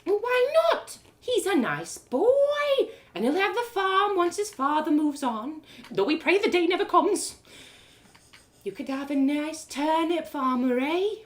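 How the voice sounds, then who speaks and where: Woman playing a high, lilting village mother. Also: very animated, a little slow. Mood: coaxing. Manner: teasing, rustic dialect phrasing. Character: cheerful doting village mother, nudging her daughter to marry. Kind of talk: storybook character voice.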